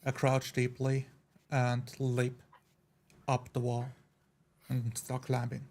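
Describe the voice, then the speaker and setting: A man's voice: mid-range voice, slow. A man playing a dwarf-like character in a tabletop roleplay, plainly describing his actions. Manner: flat, matter-of-fact action descriptions. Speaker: player.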